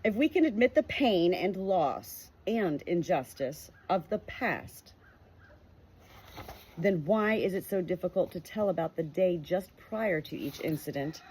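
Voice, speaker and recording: Breathy woman reading a book aloud. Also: fairly low pitch, darkish tone. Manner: earnest, emphatic reading that breaks into chatty asides. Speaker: audiobook reader.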